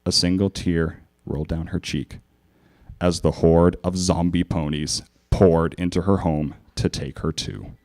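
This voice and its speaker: A man giving a theatrical, animated live reading of fan fiction as narrator and presenter. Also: low voice, unhurried.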